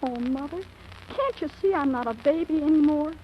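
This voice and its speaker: A high, girlish voice for an impetuous teenager in love. She pleads with melodramatic force in old radio drama style. The recording is muffled and dated. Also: expressive, moderate pace, a little hiss or hum. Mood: earnest, defiant. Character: impetuous, lovesick fifteen-year-old girl. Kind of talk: 1940s radio drama acting.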